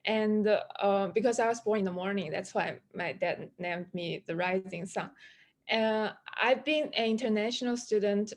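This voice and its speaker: A woman's voice: medium pitch, moderate pace. A young woman from China introducing herself as a panelist, with frequent hesitations and fillers, on a video call. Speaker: panelist, PhD student. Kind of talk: online panel self-introduction.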